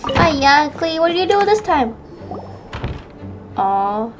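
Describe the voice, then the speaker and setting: High-voiced woman doing casual game commentary. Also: very animated, takes it slowly. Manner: cutesy, gushing reactions. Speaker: gaming video creator. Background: game music.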